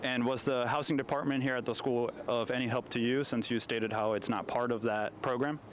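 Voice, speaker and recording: A young man hosting street interviews on a college campus, asking brisk, upbeat questions into a handheld mic. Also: mid-range voice, fairly even intonation, a little hiss or hum. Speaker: interviewer and host.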